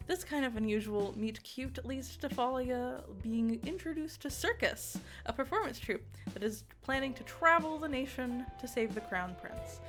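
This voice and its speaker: A woman giving a casual, chatty game review, giggly and excitable, with rambling asides. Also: sits high, normal speed, hardly any pauses. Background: music.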